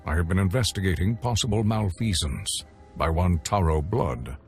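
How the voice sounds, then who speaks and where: Deep, lilting and ceremonious, a stiff official oversees a deadly hunters' contest in a game scene. Each word is precise and formal, the pitch rolling low yet lively, with soft music beneath. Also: male voice, moderate pace. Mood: measured. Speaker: tournament official. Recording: music behind.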